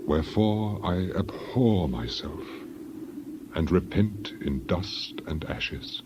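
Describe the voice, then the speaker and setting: A man's voice: low voice, lively intonation, takes it slowly, exaggerated voice. An actor playing a humbled, repentant suffering man in a dramatized scripture reading, solemn and penitent. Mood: humble, remorseful. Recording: dull, noisy recording with ambience.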